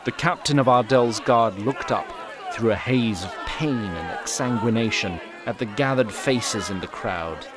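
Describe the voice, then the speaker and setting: A man's voice: fairly low pitch, measured pace, background noise. A man narrating a scripted fantasy audio drama in a literary, wry storytelling manner.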